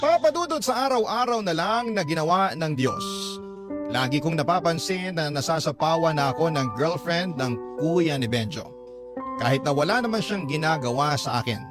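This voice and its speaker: Man voicing a higher-pitched, jealous letter sender. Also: natural voice. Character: jealous, aggrieved letter sender confessing a forbidden crush. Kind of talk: first-person radio drama letter narration. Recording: loud music under the voice.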